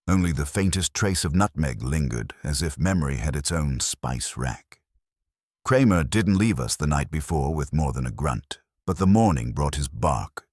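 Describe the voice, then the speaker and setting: A man's voice: a bass voice, breathy edge, dry recording, clean studio sound. A man narrating a scripted first-person detective story.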